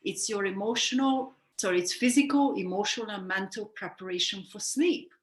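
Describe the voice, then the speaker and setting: Woman, interview guest, earnest and explanatory, medium pitch, roomy recording. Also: brisk. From Hungary. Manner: earnest, reflective, self-correcting. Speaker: sleep coach guest. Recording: roomy echo.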